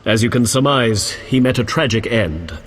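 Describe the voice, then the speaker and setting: An actor playing an earnest, pious knight in a video game, speaking in a formal, courteous and solemn manner. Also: male voice, mid-range voice, animated, moderate pace, fairly bright tone. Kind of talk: video game character acting. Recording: long echoing reverb.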